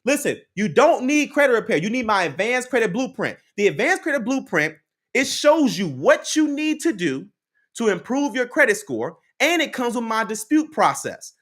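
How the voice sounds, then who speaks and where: A man hosting a livestream speaks with a very animated voice that swings high and low. He drives each point home by repeating it, talks straight to the chat and laughs now and then. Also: moderate pace. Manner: preachy, repeats key lines, laughs often, talks to the chat. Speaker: credit advice livestream host. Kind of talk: live motivational chat with viewers.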